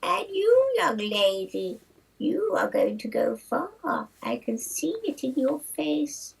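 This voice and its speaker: A stammering old fortune-teller woman, played by a woman in an improv scene, with a high, creaky voice. She crawls along slowly, tripping over her words. She sounds far from the mic. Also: very animated, full character voice. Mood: nervous.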